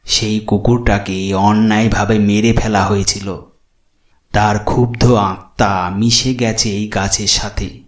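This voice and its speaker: A man's voice: lowish voice, little pitch movement, measured pace, echoey room, voice pushed down, natural voice. A man voicing a solemn, authoritative tantric holy man who makes grave pronouncements in a horror cartoon. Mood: grave, commanding.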